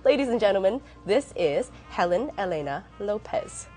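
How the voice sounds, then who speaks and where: A woman's voice: mid-range voice, expressive, moderate pace, darkish tone, faint music behind. A woman hosting a casual interview, a little sick, who laughs often and exclaims with delight. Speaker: talk show host.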